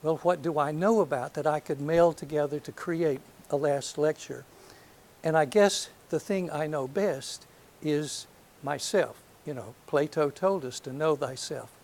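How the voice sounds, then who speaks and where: An older man from North Alabama with a soft voice, giving a reflective lecture to an audience, hesitant and full of ums, with gentle self-deprecating humor. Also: on the high side, a little slow. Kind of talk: last lecture, reminiscence. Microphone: handheld or podium microphone.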